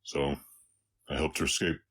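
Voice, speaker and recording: A man playing a gentle, brooding orc ranch hand with a Southern US accent, talking in a halting, solemn drawl in an intimate ASMR roleplay. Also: extremely low pitch, level tone, husky, full character voice. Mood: haunted, regretful. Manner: halting, subdued, solemn drawl with long pauses. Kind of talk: ASMR romance audio roleplay, intimate confessional storytelling.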